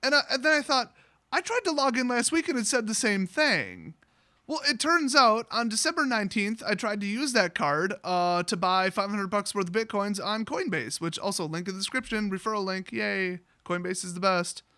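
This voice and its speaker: Bright, high-voiced man ranting casually. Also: moderate pace, no room sound, clean studio sound. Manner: sweary, sarcastic, blunt. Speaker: online vlogger telling a story, card seller. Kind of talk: casual rambling storytime rant.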